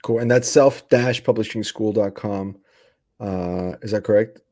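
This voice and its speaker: A man's voice: on the low side, measured pace, darkish tone, no room sound, studio quality. Upbeat rambling male podcast host. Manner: upbeat, rambling, many fillers and restarts. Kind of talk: casual podcast chat with sponsor shout-outs.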